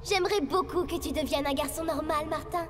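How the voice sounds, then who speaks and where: A woman voicing a bossy, headstrong cartoon schoolgirl with a brisk, emphatic delivery. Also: high voice, faint music behind, close to an everyday voice. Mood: persuasive. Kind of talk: French animated series acting.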